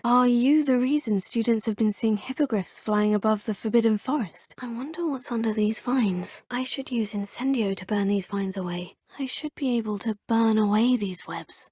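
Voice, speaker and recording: Curious schoolgirl witch, talks to herself all formal, kinda high and breathy. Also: a little mellow, dry recording, studio quality. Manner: thinks aloud, polite and formal. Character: young female student witch, curious and polite. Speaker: player character. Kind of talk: video game voice lines.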